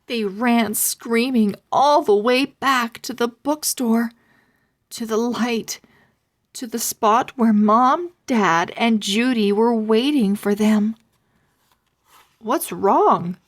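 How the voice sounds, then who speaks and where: A woman reading a children's story aloud as narrator, expressive and full of suspense. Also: fairly high pitch, slow pace. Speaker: storybook reader.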